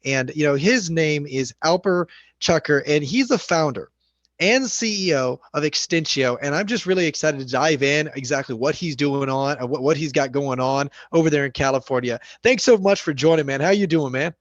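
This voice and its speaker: A male podcast host, upbeat and matey, packing his questions with "you know" and "man." His voice is a touch high. The audio is dry and dull, like a lo-fi home setup. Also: normal speed, no room sound. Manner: hyped, buddy-style, "man" and "you know". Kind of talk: casual podcast interviewing.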